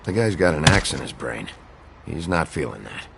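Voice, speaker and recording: An actor playing a gruff, weary sheriff with a terse, deadpan manner in a video game drama. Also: male voice, a bass voice, moderate pace, very noisy, full character voice. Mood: concerned, dry. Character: gruff, weary male sheriff, a wolf in human guise.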